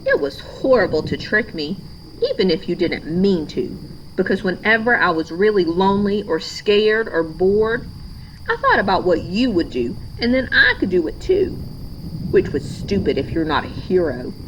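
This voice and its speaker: A woman's voice: medium pitch, very animated, moderate pace, highs cut off, a little hiss or hum, lightly acted. A woman voicing a hurt, indignant, lonely young girl in a storybook, speaking earnestly and emphatically. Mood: angry, hurt.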